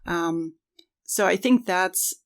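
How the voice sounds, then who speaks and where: A woman's voice: lowish voice, slow pace. A woman co-hosting a conversational podcast, speaking in an earnest, reflective way. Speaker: podcast co-host.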